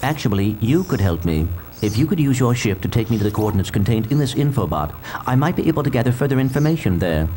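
An actor playing a small, polite robot in a video game, speaking formally and articulately. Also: male voice, medium pitch, very animated, fast, dark tone, a little hiss or hum, close to an everyday voice. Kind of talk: video game cutscene acting.

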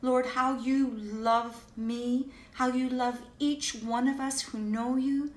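Older woman telling a Bible story slowly. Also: sits high. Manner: slow, gentle and expressive, like reading aloud to children. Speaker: children's message speaker. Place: living room, seated in an armchair. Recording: echoey room, distant mic.

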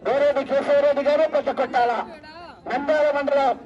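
A man commentates on a village race in an extremely high, exclamatory voice. He rouses people with long whoops and cries. The sound is distant and dull, as if over an outdoor loudspeaker. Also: flat delivery, a faint crowd. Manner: exclamatory, rousing, drawn-out whoops. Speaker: announcer at a rural bull race. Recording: muffled outdoor PA sound from far off.

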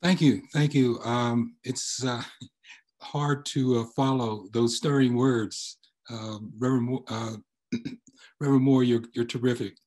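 Husky older man, halting and informal. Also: mid-range voice, steady tone, darkish tone. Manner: halting, hesitant. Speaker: journalism professor, guest speaker. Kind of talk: informal preamble to a presentation. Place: plain room, indoors, on a video call. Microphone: computer mic on video call.

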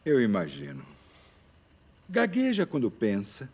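A man's voice: mid-range voice, husky, exaggerated voice. A male actor with a Brazilian accent dubbing an unflappable older speech therapist, informal, probing and wryly insistent. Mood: probing, assured. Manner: calm, measured, wry, insistent. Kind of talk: film dubbing in Portuguese.

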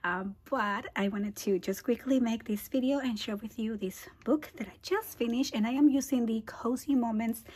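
A woman hosting a scrapbooking tutorial, hoarse and half-whispering as she loses her voice, chatty with small laughs as she walks through her album. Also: fairly high pitch, normal speed, few pauses, darkish tone. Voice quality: husky. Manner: casual, chatty, laughs between phrases. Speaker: scrapbooking channel host. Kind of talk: craft tutorial walkthrough. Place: indoors at a craft table.

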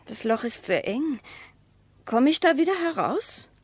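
An actress in a German radio play voicing a distrustful, needling wife, speaking in a measured, pointed way with hushed phrases. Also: female voice, sits high, very animated, breathy edge, full character voice. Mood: guarded.

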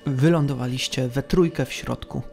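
Gravelly man narrating as game master. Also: medium pitch, normal speed, a little mellow, faint music behind.

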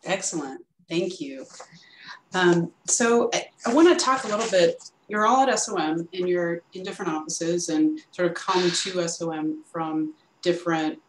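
A woman moderating a panel over a video call, brisk and encouraging. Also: lowish voice. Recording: echoey room, faint hiss.

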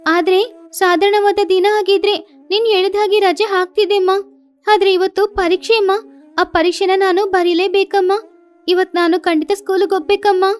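A woman's voice: very high-pitched, flat delivery, normal speed, voice pushed up. A woman voicing a young cartoon sparrow daughter, an earnest schoolgirl who talks in a pleading, childlike way.

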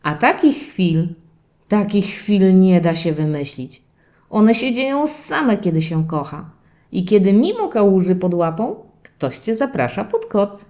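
A woman plays a playful young boy puppy narrating his diary for a children's audio story. The delivery swings widely in pitch and takes its time, with expressive, dramatic phrasing aimed at young listeners. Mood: playful, warm. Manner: expressive, drawn-out dramatic telling addressed to children. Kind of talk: animated first-person children's storytelling.

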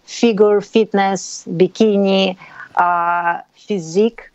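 A woman in her thirties with a Russian accent talks eagerly and frankly in slightly broken English. She is heard over an earbud mic on a video call from a room at home. The sound is dry and somewhat dull, with a medium-pitched voice. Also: steady tone, slow, no echo. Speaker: guest. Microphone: earbud headset mic on a video call.

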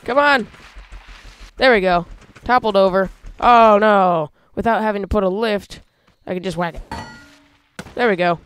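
A man hosting a casual gameplay video, talking through how he builds a vehicle, with excited yelps when he drives it. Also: high voice, unhurried, smooth, no room sound. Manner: chatty, explains while building, excited yelps when driving. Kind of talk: casual let's-play commentary.

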